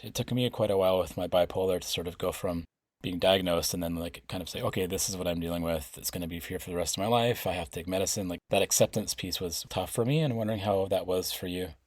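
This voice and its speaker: A man's voice: deep voice, quick pace, darkish tone, no echo, studio quality. A man hosting a podcast, asking thoughtful, reflective interview questions. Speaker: podcast host.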